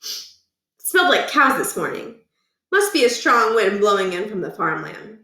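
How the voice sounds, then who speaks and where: A man's voice: sits high, sing-song, measured pace, sharp tone, echoey room, heavily acted. A woman voicing a beleaguered, easily flustered middle-aged male school principal who mutters and repeats himself. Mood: puzzled.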